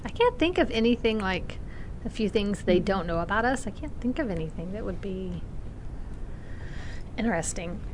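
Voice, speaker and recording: A woman in her forties co-hosting a podcast, chatty and quick to laugh. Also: mid-range voice, lively intonation, background noise. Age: 40s. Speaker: podcast co-host. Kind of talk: casual chat.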